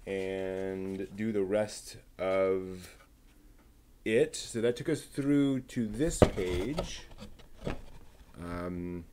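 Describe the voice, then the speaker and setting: Male host, low and unhurried, muttering to himself as he works, faint clicks behind. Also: darkish tone, a little hiss or hum. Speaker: livestream host building a model kit. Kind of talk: casual live commentary while assembling.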